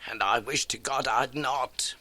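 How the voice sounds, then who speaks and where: A man's voice: medium pitch, unhurried, pushed and bright, pitched above the performer's own voice. A man voicing an elderly, motherly working-class landlady in an audiobook, garrulous, earnest and folksy. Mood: chatty, earnest. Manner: chatty, folksy, dramatic storytelling. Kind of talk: audiobook character acting.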